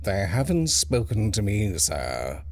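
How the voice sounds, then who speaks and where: A man's voice: fairly low pitch, wildly swooping pitch, slow pace, gravelly, heavily acted. Man reading as a detached, discreet butler. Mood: evasive. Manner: detached, formal, deferential. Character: detached, discreet male butler, deferential and evasive. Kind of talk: storybook character reading.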